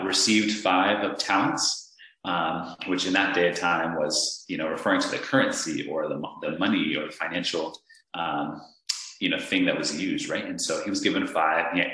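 A man from Northern Virginia, a guest on a podcast, speaking earnestly in a halting way with many fillers and restarts. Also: deep voice, fairly even intonation, pushed and bright. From Northern Virginia, USA. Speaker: podcast guest, real estate investor. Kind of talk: casual interview conversation. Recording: echoey room.

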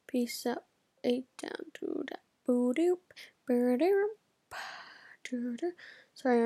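A woman's voice: a little high, darkish tone. A teenage girl, rambling casually to camera, swearing a lot and dropping into whispered asides. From United Kingdom. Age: teens. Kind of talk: casual vlog chat.

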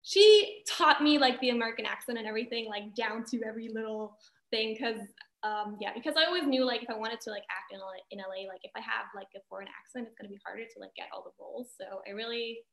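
A woman's voice: on the high side, fast talker, vocal fry, a bit bright. A young woman from Austria, a native German speaker, chatting as an interview guest in a bubbly way, full of fillers and laughs. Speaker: guest, singer and actress. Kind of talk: casual interview chat. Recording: echoey room.

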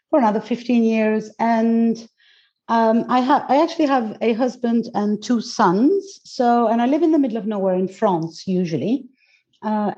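A woman's voice: on the high side, muffled recording. A woman from Greece, whose first language is not English, chatting as an interview guest about her work, often restarting and laughing as she finds her words. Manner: warm, searching for words, frequent restarts and laughs. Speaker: guest, goddess teacher and coach. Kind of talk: casual interview chat.

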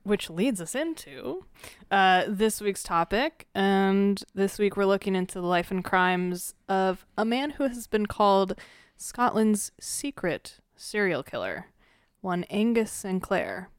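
A playful woman co-hosts a true-crime podcast, chatting and joking as she leads the case narration. Her voice is smooth and resonant. It is recorded close and dry. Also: medium pitch, expressive, slow, no echo. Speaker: podcast co-host. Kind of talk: casual true-crime chat.

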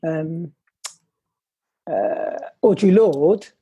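A woman's voice: low-pitched, expressive, very slow, slightly rough, lo-fi sound. A middle-aged woman, an academic guest, speaking thoughtfully and measuredly in conversation, often restarting her sentences. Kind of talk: reflective interview conversation.

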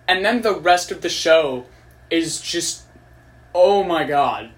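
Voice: mid-range voice, lively intonation, takes it slowly. A young adult holding forth in a loose, casual chat, confident and opinionated, dropping swear words without a second thought. The delivery leans into dramatic retelling.

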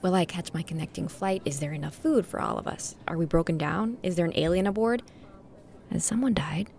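Sardonic, gravel-edged woman gambler. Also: fairly low pitch, fairly quick, darkish tone. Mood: wry, confiding. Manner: wry, candid, conversational, sweary. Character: sardonic, streetwise woman gambler telling her own story. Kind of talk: first-person audio drama narration and dialogue.

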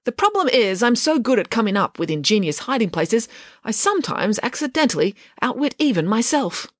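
Woman playing a haughty, excitable lady pig nanny, animated. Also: on the high side, fairly quick, dry recording, clean studio sound, voice pushed up. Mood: self-assured. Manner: emphatic, pompous declarations.

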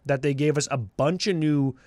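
A man's voice: fairly high pitch, normal speed, a little mellow, no room sound, studio quality. A man co-hosting a casual podcast, upbeat and laughing often, his voice a bit scratchy from a cold. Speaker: podcast co-host. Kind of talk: casual chat.

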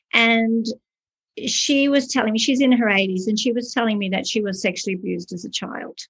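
Earnest woman with a husky voice. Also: fairly high pitch, a bit bright, low-quality recording. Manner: earnest, passionate storytelling. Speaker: author and early childhood educator. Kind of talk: podcast interview answers.

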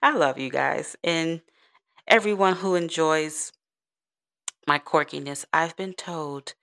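Woman, 30s, gravelly low voice, soothing, casual podcast host. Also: takes it slowly, fairly bright tone. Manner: rambling and giggly. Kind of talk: casual solo podcast chat.

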